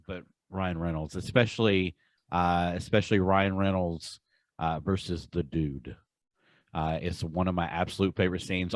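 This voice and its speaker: Low-voiced man chatting on a podcast. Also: no room sound. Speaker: podcast host. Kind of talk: casual podcast chat.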